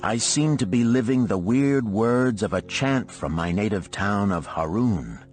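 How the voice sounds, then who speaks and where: A man narrates an old parable in a low voice. His phrasing is deliberate and solemn, like a storyteller reading a scripted audiobook. Soft music sits behind the voice.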